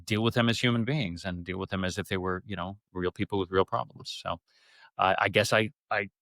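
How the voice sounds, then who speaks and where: A middle-aged man with a fairly low voice talks as a guest in an interview. He rambles and halts, doubling back on his words as he explains things. The sound is very dry, with no room around it. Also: steady tone, fairly bright tone, dry recording. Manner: rambling, halting, repeats words. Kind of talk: conversational interview answers.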